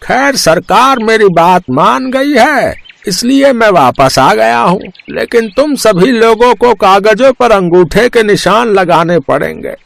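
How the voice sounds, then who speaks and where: A man playing a corrupt, blustering village headman in a dramatized story, indignant and boastful with a creaky voice. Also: high-pitched, no echo, heavily acted. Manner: indignant, boastful, drawn-out delivery. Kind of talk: dramatized moral story acting.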